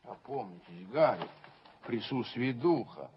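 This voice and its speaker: Guy playing prissy snobby gentleman in an old radio play, very swoopy talk, muffled hissy tape. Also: medium pitch, lively intonation, a little slow, lightly acted. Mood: anxious, reproving. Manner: pompous, ingratiating, flowery politeness. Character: fussy, snobbish, over-polite English gentleman, anxious about propriety. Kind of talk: theatrical radio drama acting. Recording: dull, noisy 1970s radio recording.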